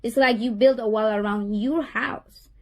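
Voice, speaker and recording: Honduran woman, earnest and higher-pitched. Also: fairly even intonation, a little slow, a little mellow. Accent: Honduran Spanish. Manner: earnest, repeats words and restarts often. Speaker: parent. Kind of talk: tense parent-teacher call.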